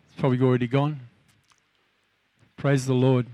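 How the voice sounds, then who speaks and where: A man's voice: mid-range voice, level tone, moderate pace, dark tone, no room sound. An older man pastoring a church, delivering fervent exhortations and announcements.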